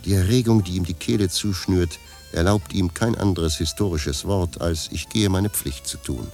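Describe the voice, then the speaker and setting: A man giving scripted literary narration for a radio play in a measured, deadpan manner. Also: sits low, faint music behind.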